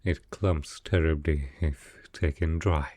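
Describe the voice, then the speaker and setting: A man playing a slow, deep-voiced sickly nobleman. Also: fairly even intonation, background noise. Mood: weary, wry. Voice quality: low, soft, with a cough. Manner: formal, measured, dryly reserved. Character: sickly, proud, melancholy male aristocrat. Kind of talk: roleplay ASMR audio drama.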